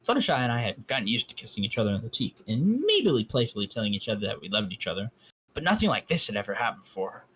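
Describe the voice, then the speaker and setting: A man's voice: lowish voice, sing-song, quick pace, a bit bright, a little hiss or hum, low-quality recording. Man mock-reading a story with sarcastic asides. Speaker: fan fiction reader. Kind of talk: reading aloud to a voice chat. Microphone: voice call.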